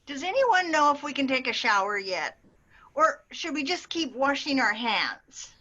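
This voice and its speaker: A woman's voice: high voice, big pitch swings, unhurried, sharp tone. A woman performing a reading of jokes and stories over slightly dull video-call audio. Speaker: readers theater performer.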